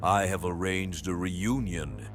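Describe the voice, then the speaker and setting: An actor playing a power-hungry, coldly villainous world president in an audio drama, with a theatrical, deliberate, menacing delivery. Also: male voice, low voice. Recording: dramatic music underneath.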